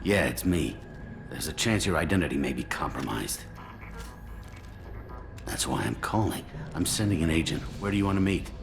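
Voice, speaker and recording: Low, gravelly, sleazy crook. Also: male voice, expressive, normal speed, pauses often, over music, full character voice. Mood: grudging. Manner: sneering, sarcastic. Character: sleazy, cornered male criminal fixer, defiant and smug. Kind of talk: video game dramatic dialogue.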